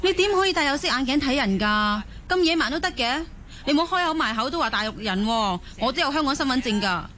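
A woman's voice: high-pitched, lively intonation, fast, a bit bright, slight background noise. A woman acting a discontented, outspoken young wife and mother from the mainland in a Cantonese radio drama. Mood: indignant. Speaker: voice actor in an audio drama.

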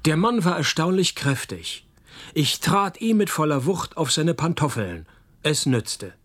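An unflappable private detective telling his case in a radio play. His husky voice sits a little high for a man. The delivery is wry, deadpan and sardonic. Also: measured pace. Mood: dry, deadpan. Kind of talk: scripted radio play narration and dialogue.

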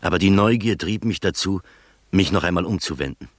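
Male narrator, measured, literary radio play, low pitch, close dry sound. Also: no room sound. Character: observant male first-person storyteller.

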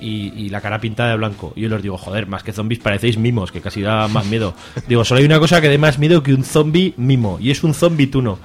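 A man from Spain talks at a very quick clip in loose, colloquial radio chat. His pitch sits in an ordinary middle range, and he is talkative and jokey. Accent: Spanish from Spain. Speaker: radio show contributor reviewing comics and fanzines.

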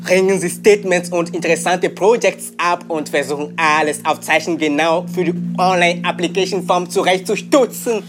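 A man's voice: sits high, fairly even intonation, normal speed, hardly any pauses, close to an everyday voice. A man playing a wry culture worker in a scripted spoken-word monologue. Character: wry male culture worker reporting artists' plight. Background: music.

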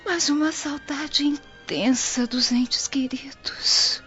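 An actress with a Brazilian accent playing a devout, gentle grieving daughter in a radio drama, solemn and prayerful with breathy whispered words. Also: female voice, high-pitched, steady tone, takes it slowly, exaggerated voice. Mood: anguished, prayerful. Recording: dull lo-fi radio drama over music.

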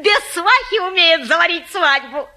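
A brash common woman, gossipy and sharp-tongued. Her extremely high, piercingly bright voice delivers folksy exclamations in an old comedy play. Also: expressive, measured pace, heavily acted. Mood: gleeful mockery. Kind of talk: classic Russian stage comedy acting.

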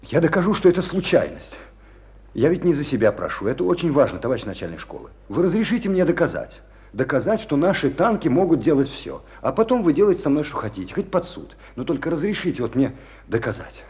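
Radio drama casting: young army cadet, stubborn and earnest, widely swinging intonation. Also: male voice, on the high side, sing-song, darkish tone. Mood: pleading, fervent. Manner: halting, earnest, swinging from subdued to fervent. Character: proud, earnest young male officer cadet, stubborn, passionate. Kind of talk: Russian radio play acting.

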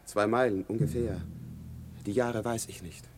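Actor as a low-voiced dreamy soldier, faint noise behind. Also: male voice, fairly even intonation, measured pace, darkish tone, close to an everyday voice. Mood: dreamy, wistful. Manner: lyrical, deferential. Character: dreamy condemned soldier reporting to his captain. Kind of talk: poetic German radio drama acting.

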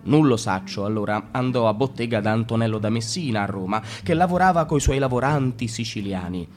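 A man's voice: mid-range voice, animated, a little fast, a little mellow. Theatrical guy doing a fake art lecture, radio play, bit of music behind. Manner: theatrical, mock-lecturing, swings in pace. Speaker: narrator. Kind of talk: comic radio drama reading.

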